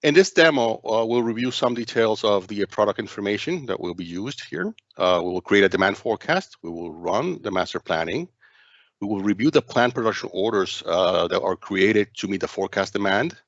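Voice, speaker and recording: A man narrating a software demo walkthrough as its presenter, speaking in a halting, hesitant way with frequent stumbles. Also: on the low side, lively intonation, dry recording, lo-fi sound.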